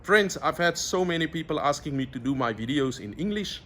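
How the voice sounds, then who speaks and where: A man's voice: a little high, lively intonation, a little fast, a bit bright, slight background noise. A middle-aged South African man with an Afrikaans accent, chatting outdoors as he shows how to braai, addressing viewers as friends. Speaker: cooking vlogger. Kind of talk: friendly outdoor cooking tutorial.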